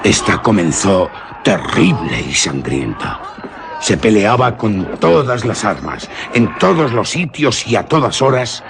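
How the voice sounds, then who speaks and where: A man with a husky, rough-edged voice, narrating a suspense story theatrically and deliberately in literary radio-theatre style. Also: on the low side, very noisy.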